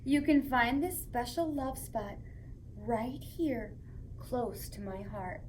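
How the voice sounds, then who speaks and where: A woman hosting story time for young children, reading aloud and chatting to them in a playful, expressive way. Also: fairly high pitch, takes it slowly, a little hiss or hum.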